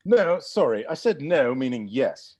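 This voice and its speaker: A man's voice: mid-range voice, very animated, a little slow, darkish tone, highs cut off. A man playing a flustered, evasive butler in a comedy script read-through. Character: flustered, evasive butler taking charge of guests.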